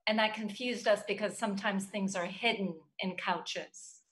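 An actress playing a stern FBI agent in a comedy table read, with a dry, businesslike manner. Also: female voice, medium pitch, steady tone, normal speed, a bit bright, close to an everyday voice, poor audio. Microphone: video call.

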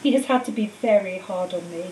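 A woman voicing a cold, treacherous male wizard teacher in an audiobook, speaking in a calm, menacing, matter-of-fact way. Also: medium pitch, a little fast, natural voice. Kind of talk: audiobook character voice. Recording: roomy echo, distant mic, faint hiss.

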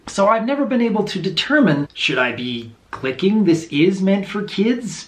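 A man in his thirties with a low voice, talking earnestly to camera in a home video, thoughtful and openly unsure. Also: reverberant. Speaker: video creator. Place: small room indoors at home.

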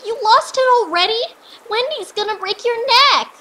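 A woman voicing an excitable, bossy young girl in a cartoon comic dub. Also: very high-pitched, normal speed, heavily acted. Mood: teasing alarm.

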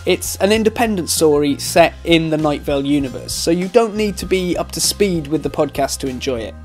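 A man's voice: a little high, expressive, a little fast. A man hosting a podcast, speaking solo in a conversational outro style. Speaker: podcast host. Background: guitar music.

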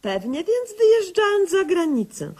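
A woman's voice: very high-pitched, big pitch swings, unhurried, a little mellow, heavily acted. An actress playing a self-important young woman doctor who narrates her own cases in a pompous, official way in a radio comedy sketch. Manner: pompous, bureaucratic, mock-official.

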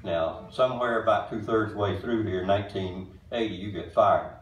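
Deep-voiced man in his eighties. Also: muffled recording, background noise. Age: 80s. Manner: folksy, plainspoken, halting with many uhs. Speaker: bandleader introducing a tribute show. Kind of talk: casual stage talk to an audience. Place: small wooden-walled music barn with audience. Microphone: far camera recording a stage mic. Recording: roomy hall sound from far off.

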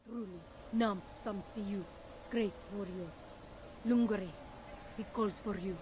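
A proud alien warrior, acted out by a voice actor, stern and honor-bound, from a video game. The delivery is slow and measured over faint ambience. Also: mid-range voice, steady tone, background noise, close to an everyday voice. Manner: stern, formal broken speech.

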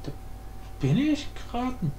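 A man's voice: very high voice, big pitch swings, takes it slowly, dark tone. A man thinking aloud in slangy, casual talk as he hosts a screen-recorded coding tutorial, muttering to himself. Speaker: tutorial host and hobby game programmer. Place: indoor room, voice over screen capture. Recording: roomy echo, faint background noise.

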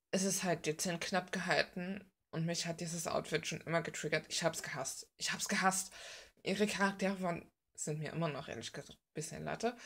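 A woman's voice: lowish voice, a bit bright. A woman chatting casually and bluntly in slangy, rambling fashion, like an informal art vlogger. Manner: informal, blunt, slangy, gushing about details. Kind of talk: casual rambling chat while drawing.

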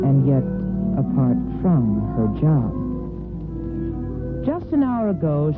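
A woman hosting and narrating a 1950s radio drama in a measured, theatrical storytelling manner. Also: low-pitched. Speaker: host and narrator. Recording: muffled old radio broadcast, music behind.

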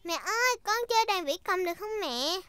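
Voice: extremely high pitch, animated, brisk, heavy background noise. A female performer voicing a cheerful, curious little girl pig in a children's cartoon, eager and childlike.

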